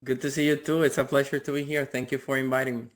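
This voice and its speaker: A man's voice: medium pitch, flat delivery, fast talker. A man in his thirties from the Dominican Republic, talking casually as an interview guest and often repeating words. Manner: repeats words, frequent "like" and "you know".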